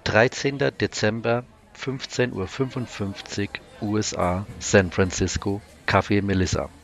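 A man's voice: fairly low pitch, steady tone, unhurried, gravelly. A man reading scripted narration for a science fiction audio drama. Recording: music under the voice.

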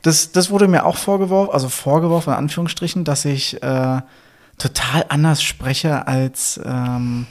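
A young man with a Berlin accent co-hosting a casual podcast, relaxed and chatty, with lots of fillers and little chuckles. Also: on the high side, normal speed. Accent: Berlin German. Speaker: podcast co-host. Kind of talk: casual couple podcast chat.

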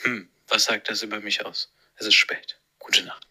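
Guy rambling thoughtfully in a voice note, asking questions, super bright edgy voice. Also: fairly low pitch, little pitch movement, normal speed. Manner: reflective, questioning. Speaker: listener sending a voice message. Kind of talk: informal musing monologue.

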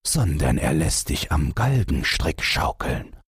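A man's voice: a bass voice, very animated, takes it slowly, slightly rough, dry recording, studio quality, heavily acted. A man voicing an old peasant farmer who is weary and stern. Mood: grave.

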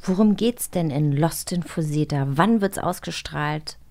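A woman's voice: sits low, unhurried, a little mellow. A woman co-hosting a casual interview and putting in questions. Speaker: podcast co-host asking questions.

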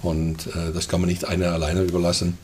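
Older Dutch-accented man, deep and halting, throat-clearing guest in casual chat over light noise. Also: monotone, darkish tone. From Netherlands. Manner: hesitant, many fillers and throat clearing. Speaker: guest, festival co-manager.

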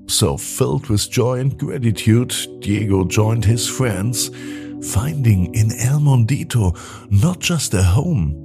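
A man narrating a scripted bedtime story for children in a gentle, deliberate storytelling manner. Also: deep voice, vocal fry, slightly rough.

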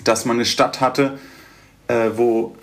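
Male guitarist guest, standard German, relaxed storyteller. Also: mid-range voice, slow. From Göttingen, Germany. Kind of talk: casual interview chat.

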